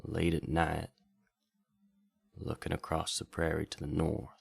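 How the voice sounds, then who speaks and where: Man playing a deep gravelly wandering bard. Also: completely flat delivery, measured pace, no room sound, full character voice. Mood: wistful, reflective. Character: wandering bard radio host from a bayou, reflective, nostalgic storyteller. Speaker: radio drama host and storyteller. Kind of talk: slow intimate late-night radio storytelling.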